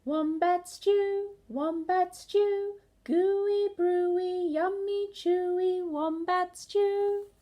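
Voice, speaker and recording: A woman's voice: very high voice, fairly even intonation, extremely slow, few pauses, mellow, voice pushed up, exaggerated voice. A woman voicing a greedy, boastful male dingo cook in a children's picture book, gloating and sing-song. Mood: gleeful singing.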